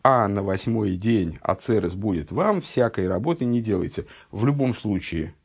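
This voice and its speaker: A man with a husky, gravelly low voice teaches a text study lesson. He reads each source passage slowly, then explains it plainly, sounding like he is just recovering from an illness. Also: lively intonation, a little mellow. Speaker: religious teacher.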